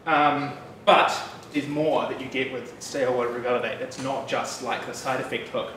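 New Zealand developer in his thirties, chatty meetup presenter, mid-pitched, distant room mic. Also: moderate pace. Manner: relaxed, chatty, with frequent fillers and asides. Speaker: developer giving a meetup talk. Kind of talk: casual technical talk. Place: bright loft-like office room with an audience. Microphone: room mic, speaker standing away from it. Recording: distant, echoey room with background noise.

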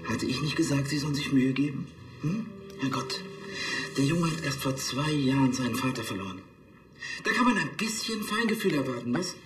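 An actor playing a pompous police chief in a TV crime comedy, officious and reproachful. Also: male voice, medium pitch, lively intonation, normal speed, roomy sound, faint music behind, natural voice. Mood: exasperated.

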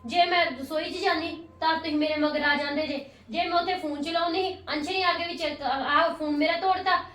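A woman playing a sharp-tongued, short-tempered sister-in-law. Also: very high-pitched, steady tone, echoey room, far from the mic. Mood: resentful. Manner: sharp, scolding, quick retorts. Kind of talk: family drama skit.

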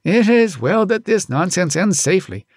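Casting: man acting middle-aged elephant driver, storybook father, scolding and proud, high swooping delivery, archaic phrasing. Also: animated, moderate pace, breathy edge, dry recording, studio quality. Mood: indignant scolding. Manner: indignant, archaic thee-and-thou speech. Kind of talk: audiobook character voice.

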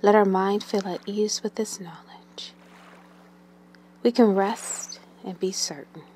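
A woman guides a meditation very slowly, in a mid-pitched voice. Her delivery is gentle and soothing, leaving long pauses and letting phrases trail off into breath. Faint ambient noise sits behind her.

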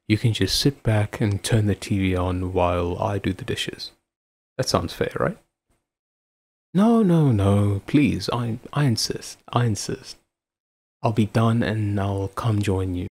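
A man playing a devoted, affectionate husband in an intimate audio roleplay, with a husky voice. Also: lowish voice, a little mellow. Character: devoted, affectionate husband, playful then anxiously caring. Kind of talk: intimate ASMR boyfriend-style roleplay acting.

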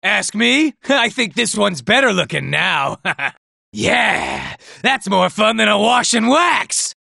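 A vain, gravelly robot soldier. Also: male voice, high voice, lively intonation, slow pace, bright, forceful tone, no room sound, exaggerated voice. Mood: smug gloating. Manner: smug, self-admiring, taunting. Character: vain, boastful male robot soldier obsessed with looks. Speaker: game character. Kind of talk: video game combat barks. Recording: dry studio recording.

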